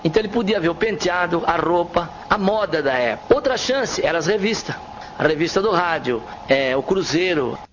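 A man's voice: fairly high pitch, expressive, fairly quick. A Brazilian man, a radio broadcaster, explaining things in an interview. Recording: muffled, faint background noise.

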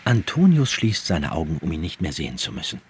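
A man's voice: low voice, big pitch swings, a little fast, a little hiss or hum. A man narrating a scripted radio drama as its storyteller.

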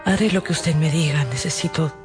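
A woman's voice: low-pitched, a little fast, natural voice. An actress playing a troubled, pious married lady with a hesitant, fervent way of confiding, in a radio drama. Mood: contrite, pleading. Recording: music behind the voice.

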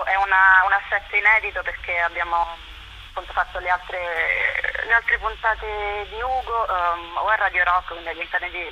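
A woman giving a casual interview, often halting as she searches for words. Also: lowish voice, muffled recording, noisy background. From Rome, Italy. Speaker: interview guest, writer and performer.

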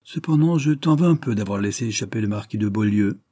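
A man's voice: on the low side, lively intonation, fast talker, mellow, dry recording, clean studio sound. A man voicing a ferocious revolutionary official in an audiobook, ironic, contemptuous and declamatory. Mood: menacing joviality.

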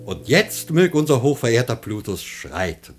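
A man's voice: medium pitch, sing-song, moderate pace, faint music behind, natural voice. A man playing a pompous, moralizing master of the house in a radio comedy, declaiming and commanding. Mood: proud, festive. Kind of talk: German radio play acting of classical comedy.

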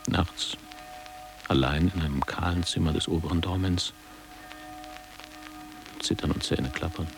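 An actor playing a reflective ageing former boarding-school pupil, narrating his memories in a measured, literary way for a radio drama. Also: fairly even intonation, music in the background, low-quality recording. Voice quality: deep, gravelly.

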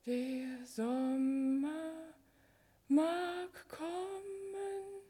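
A woman's voice: extremely high pitch, fairly even intonation, warm tone, heavily acted. An actress playing a faithful, patiently waiting woman, singing lyrically and tenderly in a 1960s radio drama. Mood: tender, longing. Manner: slow, lyrical singing delivery. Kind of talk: lullaby-like song in 1960s German radio drama.

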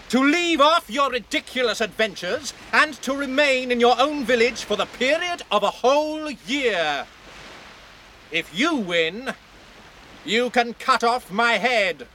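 An actor playing an imposing young knight in a radio drama, loudly proclaiming in formal, declamatory style. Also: male voice, very high-pitched, lively intonation, a little slow, slight background noise, exaggerated voice. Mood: commanding challenge.

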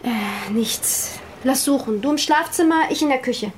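An actress playing a brash young burglar, giving brisk, cheeky orders in a radio drama. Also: female voice, high-pitched, lively intonation. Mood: brisk, bossy. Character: brash, bossy young female burglar on the run. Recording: clattering dishes and rummaging noise.

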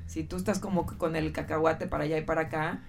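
Mexican woman hosting radio, lowish voice. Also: little pitch movement, fast, vocal fry, faint music behind. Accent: Mexican Spanish. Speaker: radio host. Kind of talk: conversational radio talk, interviewing guests.

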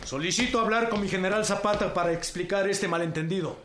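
A man's voice: sits high, fast talker, heavy background noise, close to an everyday voice. A man with a Mexican accent playing a dignified accused revolutionary general in a radio drama. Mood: dignified protest. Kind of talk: Spanish-language radio drama acting. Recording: roomy sound with loud crowd behind.

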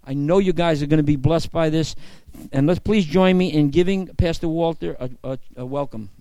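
A man from the church introducing a guest speaker in an earnest, measured read. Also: a little high, mellow.

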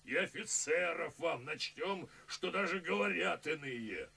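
An actor playing a blustering, pompous army colonel with a raspy, gravelly voice in a verse radio drama. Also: male voice, on the high side, animated, a little slow, sharp tone, full character voice. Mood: pompous, approving. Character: blustering, self-assured army colonel, blunt and dim.

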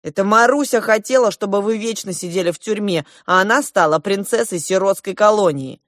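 A woman's voice: mid-range voice, fairly quick, no echo, clean studio sound, pitched above the performer's own voice, natural voice. A woman voicing a boastful, imperious elder prince in an audiobook, with grand exclamatory delivery. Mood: indignant.

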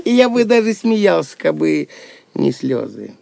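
An actor playing a wry, mocking, plucky jester with clownish, lamenting banter in an audio drama. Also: male voice, a little high, sing-song, a little slow, gravelly, a little mellow, no echo, exaggerated voice.

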